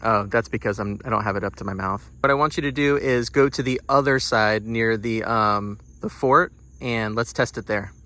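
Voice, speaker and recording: A man's voice: lowish voice, moderate pace, few pauses, bright, forceful tone. A man, sounding middle-aged, gives a casual product demonstration in a plain-spoken, explanatory way. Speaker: product reviewer.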